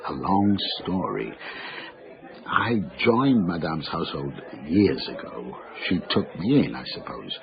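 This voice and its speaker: A refined, courteous older Frenchman in a radio play, choosing his words formally and precisely. His low voice swings widely in pitch, with a slightly dull broadcast sound. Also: full character voice. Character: refined, indignant, confiding older Frenchman in service. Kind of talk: radio drama acting.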